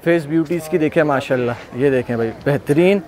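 A man's voice: a little high, fairly quick, a little mellow. A man hosting an on-site walkthrough of livestock, chatting casually and repeating praise often. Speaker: livestock video host. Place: outdoor goat pen at a farm.

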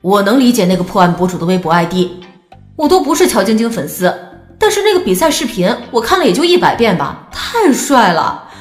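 A woman's voice: mid-range voice, very animated, a little fast, reverberant, soft background music, natural voice. An actress playing a smitten, playful female internet commenter in an audio drama, speaking in a gushing way.

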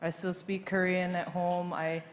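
Soft-voiced young woman, filler-heavy. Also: fairly low pitch, measured pace. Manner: relaxed, many fillers, light laughs. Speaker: golfer being interviewed on stage. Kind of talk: casual interview. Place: large banquet hall stage. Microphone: handheld mic. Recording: reverberant hall, faint noise.

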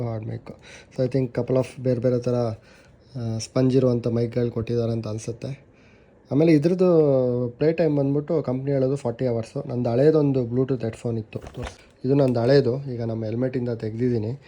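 Young Kannada-accented man, mellow tone, casual chatty reviewer. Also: medium pitch. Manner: chatty, mixes English words into his talk, self-corrects. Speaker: motovlogger reviewing a helmet intercom. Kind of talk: casual product review and unboxing.

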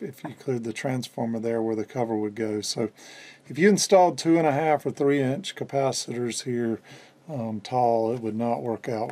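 A man in his fifties giving a casual hands-on demonstration at a workbench indoors, explaining in a halting way as he thinks aloud. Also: medium pitch, darkish tone. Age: 50s. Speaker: electronics restorer and presenter.

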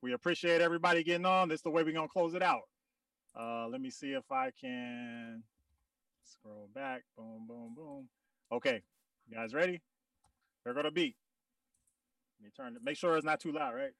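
A man's voice: medium pitch, animated, normal speed, long pauses, fairly bright tone, no echo. A man hosting a group video call, chatting casually and rapping. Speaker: host and rapper. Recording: distant video-call sound over music.

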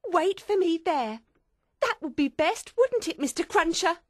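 A woman's voice: very high-pitched, big pitch swings, a little slow, no echo, studio quality, pitched above the performer's own voice, heavily acted. A woman voicing a nervous, protective, polite Englishwoman in a storybook reading, pleading and fretful. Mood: worried, tearful. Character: anxious, tearful, protective Englishwoman, fussy and polite.

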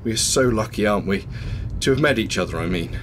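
A man's voice: on the low side, lively intonation, fast talker, fairly bright tone, a little hiss or hum. A man acting in a radio drama as an ordinary, uneasy husband, earnest and questioning. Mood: reflective, affectionate.

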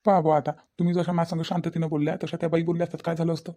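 Cartoon village dad, played by a woman: middle-aged, protective, worried, Varhadi Marathi accent, very fast, even-toned delivery. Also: on the low side, natural voice. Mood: aggrieved. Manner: insistent, repetitive questioning. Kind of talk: comedy cartoon dialogue.

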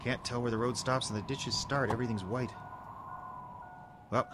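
With clear background noise, a man plays a working repairman in an audio drama. He is easygoing, wry and a little put-upon, in a medium-pitched casual voice. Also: steady tone, normal speed, lightly acted. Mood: weary grumbling. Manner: casual, colloquial. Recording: background noise, outdoor and vehicle ambience.

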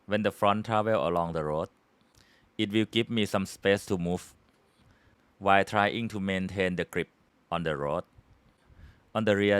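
A man's voice: low-pitched, a bit bright, clean tone, no echo. A man from Thailand with a Thai accent, hosting his hobby channel and patiently explaining a how-to in simple English. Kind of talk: casual how-to tutorial.

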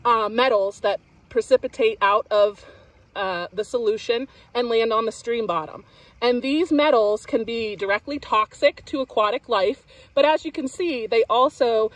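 A woman's voice: on the high side, a bit bright, dry recording. A woman in her thirties explaining stream pollution outdoors beside a creek, plain and explanatory with frequent ums and uhs. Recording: dry, a little distant from the mic.

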